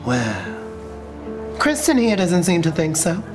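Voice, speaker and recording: An actress playing a proud, kindly, principled customer in a moralistic web drama, earnest and declarative. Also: female voice, fairly low pitch, huge pitch swings, slow pace, full character voice. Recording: music clearly under dialogue.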